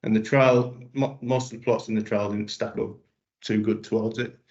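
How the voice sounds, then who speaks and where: A man presenting as a vegetable breeder in a webinar, speaking in a halting way with restarts. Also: lowish voice, flat delivery, a little mellow. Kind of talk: explanatory presentation. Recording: dull lo-fi webinar audio.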